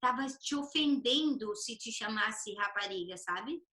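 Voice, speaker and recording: A Brazilian woman with a Brazilian Portuguese accent hosts a relaxed live conversation, talking at length in a chatty way. Her voice sits high and sounds bright. The room echoes noticeably. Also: measured pace. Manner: chatty, reads viewer comments aloud. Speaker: host of a live chat. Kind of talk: casual live-stream conversation.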